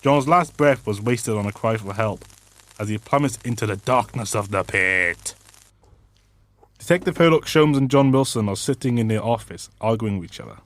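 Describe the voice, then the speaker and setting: Man acting as a snarky, lively comedy narrator. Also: fairly low pitch, moderate pace, close to an everyday voice. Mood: dramatic storytelling. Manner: sardonic, theatrical. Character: snarky male narrator who mocks the hero. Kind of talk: scripted comic radio drama narration. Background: music.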